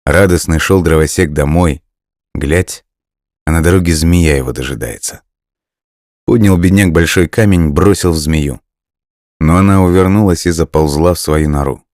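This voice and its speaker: A man narrates a folk tale from a script in a storybook style. His voice is extremely deep, and the sound is dry with hardly any room. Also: normal speed, a little mellow, no echo.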